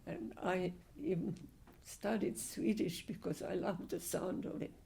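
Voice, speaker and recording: Gravelly-voiced elderly woman. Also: on the low side, fairly even intonation, heavy background noise. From Hungary. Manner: slow, halting, with frequent restarts. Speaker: Holocaust survivor speaker. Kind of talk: answering audience questions.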